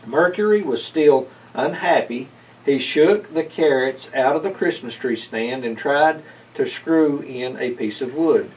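A man in his thirties reading a children's storybook aloud in a measured, expressive way. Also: mid-range voice. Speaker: story reader. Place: indoors, library corner with bookshelves. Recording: picked up from a distance.

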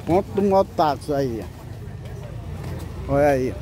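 A man's voice: on the high side, lively intonation, a little slow. A man with a Northeastern Brazilian accent chatting casually and narrating a street vlog, often repeating his phrases. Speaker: vlogger. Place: outdoor street market in a town square. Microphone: handheld camera, speaker behind it. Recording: noisy outdoor street recording with traffic.

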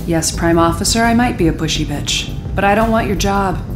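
A woman's voice: mid-range voice, brisk, natural voice. Actress doing tough immortal lady leader, firm and commanding, echoey room, music behind. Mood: defiant, firm. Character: steely, determined immortal woman leader. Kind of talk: audio drama acting. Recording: roomy echo, music underneath.

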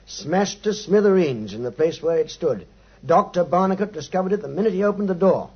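A man's voice: sits high, lively intonation, brisk, husky, heavily acted. A man playing a chatty, slightly sheepish English policeman with a colloquial manner in a 1950s radio drama. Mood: relishing the tale. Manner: colloquial, hesitant, chuckling storyteller. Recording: dull 1950s radio recording.

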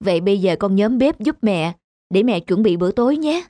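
A woman playing a gentle, hardworking mother in a cartoon fairy tale. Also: mid-range voice, lively intonation, a little fast, no room sound, studio quality, lightly acted. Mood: gentle request.

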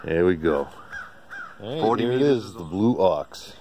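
Low-pitched man, casual outdoor walkaround. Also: expressive, normal speed, darkish tone. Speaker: amateur radio hobbyist narrating own video. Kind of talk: casual walkaround vlog, unscripted. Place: outdoors in a residential driveway. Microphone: handheld camera or phone, operator off screen. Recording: outdoor ambient noise, birdsong. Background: birds and outdoor ambience.

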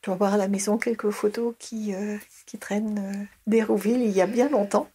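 Middle-aged woman, interviewee; mellow tone, halting, restarts often. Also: mid-range voice, fairly even intonation, slightly rough. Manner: hesitant, many fillers and restarts. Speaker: interviewee, early childhood educator. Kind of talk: casual recorded interview.

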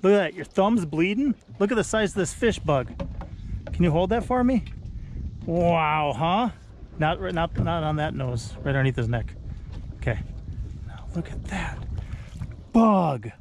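A middle-aged man filming outdoors on a lake with an action camera, excitedly coaching his young son through landing a fish. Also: a little high, unhurried, long pauses, slight background noise. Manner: encouraging, excited, coaching a child. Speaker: vlogger. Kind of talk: casual coaching commentary.

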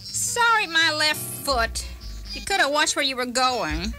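A woman playing a sharp-tongued, fed-up housewife and mother in a radio story, tart and scolding. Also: high voice, big pitch swings, a little slow, heavily acted. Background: music.

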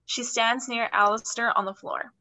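A young woman narrates stage directions in a bright, slightly high voice. It is scripted reading for a play, done over a video call from a plain room. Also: fairly even intonation, normal speed.

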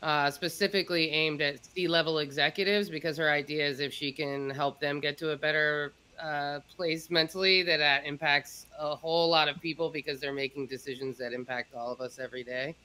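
A woman's voice: low-pitched, fairly even intonation, a little fast, few pauses, pushed and bright, poor audio. A middle-aged woman hosting a casual live stream in an informal, effusive way. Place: indoor room, video call.

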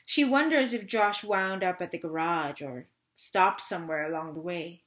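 A woman's voice: on the low side, normal speed, a bit bright, lightly acted. A woman in her thirties playing a wistful, restless mother in a dramatic third-person monologue reading. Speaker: actor in a staged reading. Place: small room at home.

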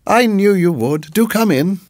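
A man's voice: sits high, expressive, unhurried, darkish tone, no echo, pitched above the performer's own voice. A syrupy, fussy middle-aged landlady, as a male storyteller raises his voice for her. She coos every line in a lilting, overly pleasant way that never quite settles. The sound is dry and close. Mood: cooing sweetness. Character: sweetly unsettling middle-aged landlady woman.

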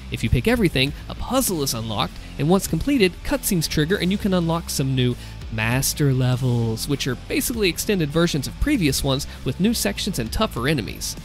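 A man hosting a gaming video, reading and commenting in a deliberate, theatrical narrator style. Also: on the high side.

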